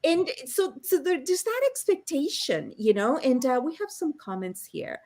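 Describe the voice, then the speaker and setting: A woman's voice: high-pitched, very animated, normal speed, a little mellow. Chatty woman host on a video call. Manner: chatty, laughing storyteller. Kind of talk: casual panel chat.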